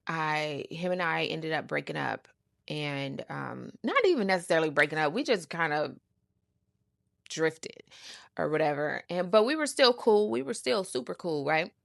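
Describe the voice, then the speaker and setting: A woman's voice: low voice, animated, moderate pace, gravelly, dry recording, studio quality. A middle-aged woman telling a personal story casually as a host, sassy and emphatic, repeating words for emphasis and using salty slang. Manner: uses slang and swearing.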